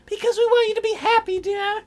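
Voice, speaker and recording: A woman's voice: very high voice, fairly even intonation, brisk, darkish tone, soft background music, pitched above the performer's own voice. A woman voicing a blunt, bawdy grandmother who teases her granddaughter in a visual novel game. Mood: teasing. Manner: sweetly teasing, crude jokes.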